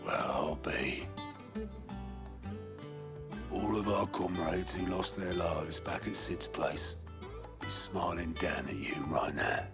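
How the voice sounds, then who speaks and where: A man acting a plain-spoken, warm-hearted rebel comrade in a dramatic video game. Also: low-pitched, slow, long pauses, over music. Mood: moved relief.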